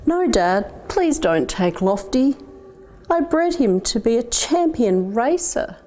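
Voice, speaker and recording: A young Australian boy from a picture book, earnest and heartbroken, pleads for what he loves voiced by a woman reading aloud. The delivery is gentle storybook acting. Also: fairly high pitch, very animated, slow, big-room sound, pitched above the performer's own voice. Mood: pleading.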